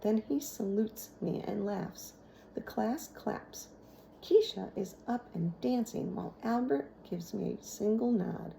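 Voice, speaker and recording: Woman teacher-type narrator for a children's novel, mellow and slow, faint noise behind. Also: mid-range voice, vocal fry, low-quality recording. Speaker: teacher reading aloud.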